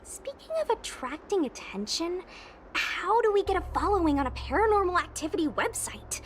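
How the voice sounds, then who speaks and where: A woman's voice: very high-pitched, expressive, normal speed, a little hiss or hum. A woman voicing a wry, skeptical young squad member in a video game, blunt, casual and teasing. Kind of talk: voiced game dialogue.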